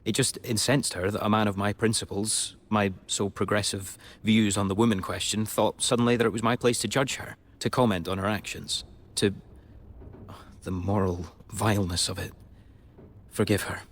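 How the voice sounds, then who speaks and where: Man playing an earnest, low-voiced young idealist. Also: fairly even intonation, normal speed, close to an everyday voice. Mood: pleading. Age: young adult. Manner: earnest, solemn, hesitant. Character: earnest, high-minded, wounded young progressive man. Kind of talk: radio drama acting.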